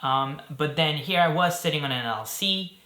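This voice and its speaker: Upbeat chatty man, bright voice, echoey room. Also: on the high side, normal speed. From Belgium. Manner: upbeat and chatty, with fillers and jokes. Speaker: host. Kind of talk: casual solo video talk to camera.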